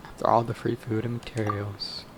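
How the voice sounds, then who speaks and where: A man's voice: lowish voice, little pitch movement, moderate pace, a little hiss or hum, poor audio. A man giving casual, slangy, blunt commentary as he plays a game, with music behind. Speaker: gamer commentating on a playthrough.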